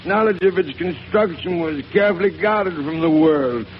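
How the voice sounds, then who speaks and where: A man's voice: a little high, moderate pace, natural voice. An actor playing a guilt-ridden male boat builder losing his mind in a 1930s radio drama. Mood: confessional. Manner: anxious, then frantic and wild. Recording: dull old radio broadcast with hiss.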